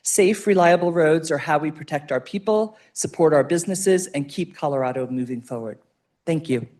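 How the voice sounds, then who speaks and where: A woman's voice: deep voice, moderate pace, darkish tone. Woman reading a formal public comment. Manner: formal, reading a prepared statement. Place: public meeting room.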